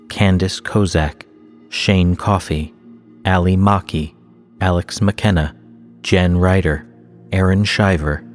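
A man announcing cast and production credits in a measured, formal, scripted read. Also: sits low.

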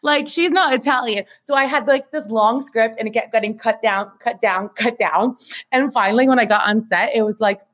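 A woman co-hosting a podcast, chatting casually and telling stories in an animated, informal way with plenty of filler "like" and some swearing. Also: fairly high pitch, moderate pace. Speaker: podcast co-host. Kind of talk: casual chat and storytelling.

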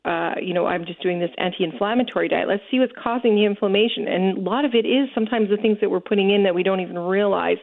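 A woman's voice: mid-range voice, fast, gravelly, hardly any pauses. A woman who owns a store, a guest on a talk show, speaking with enthusiasm and many fillers. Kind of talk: casual interview chat. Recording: tinny phone-like sound.

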